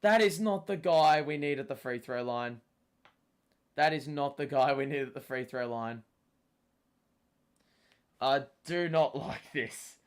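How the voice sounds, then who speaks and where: Slow-talking hoarse young man. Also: mid-range voice. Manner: excitable, swearing, shouts at big plays. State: losing his voice, voice cracking. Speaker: host. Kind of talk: live basketball watchalong commentary.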